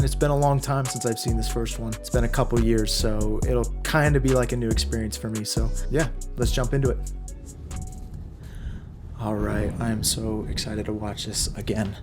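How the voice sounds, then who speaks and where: A hoarse young man in his twenties hosting a casual film reaction, chatty and excitable, with many fillers. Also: mid-range voice. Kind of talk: casual movie reaction commentary.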